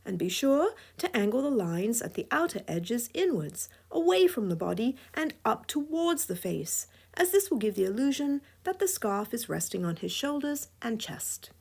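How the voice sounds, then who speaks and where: A woman's voice: a little high, very animated, moderate pace, gravelly, few pauses, a little mellow, husky. A woman with a scratchy voice giving a drawing tutorial voice-over, playful and chuckling often. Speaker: drawing instructor.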